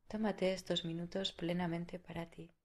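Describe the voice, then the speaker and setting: Low-pitched Spanish woman guiding meditation, even-toned. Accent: Spain. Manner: soothing, unhurried, with long pauses. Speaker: meditation guide. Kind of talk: guided meditation.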